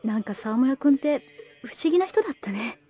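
A woman playing a sympathetic, comforting female friend in a radio drama, speaking gently and consolingly. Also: sits high, expressive, brisk, lo-fi sound. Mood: sympathetic, saddened.

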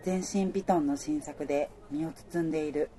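Woman acting wistful mellow-voiced romance drama heroine. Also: sits low, fairly even intonation, brisk, close to an everyday voice. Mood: narrating. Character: female apparel worker, wistful heroine narrating her love story. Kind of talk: radio drama narration and dialogue.

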